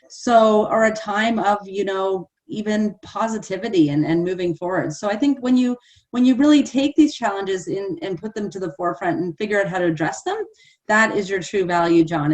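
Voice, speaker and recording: An enthusiastic woman hosting a live panel, chatty and full of "like" and "you know". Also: mid-range voice. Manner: enthusiastic, chatty, many fillers. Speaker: host.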